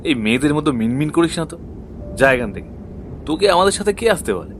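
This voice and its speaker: A man playing a mocking, bullying young villager in an animated story, sneering and dismissive. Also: fairly high pitch, brisk, slight background noise. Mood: contemptuous. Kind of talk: acted dialogue in animated story.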